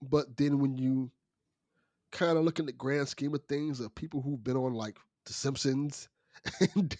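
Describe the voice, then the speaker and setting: A man's voice: medium pitch, steady tone, a little slow, dark tone, dry recording. A man talking casually as a guest on a podcast, discussing and explaining things conversationally.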